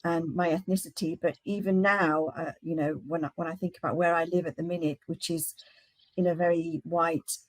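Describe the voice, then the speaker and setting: A woman speaking as a guest in a panel discussion, hesitant and halting, with many restarts. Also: lowish voice, fairly even intonation, no echo, low-quality recording. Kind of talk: casual discussion.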